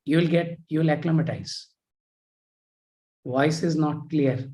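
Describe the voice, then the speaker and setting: A man teaches an online history class in a soft, mellow voice that sits a little high for a man. He talks through a computer mic on a video call. He pauses often and reads out his students' chat messages.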